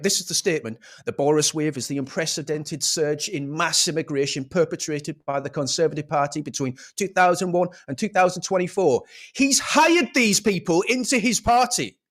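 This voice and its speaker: A man's voice: high-pitched, fairly bright tone. A man giving a scornful, swearing rant of political commentary.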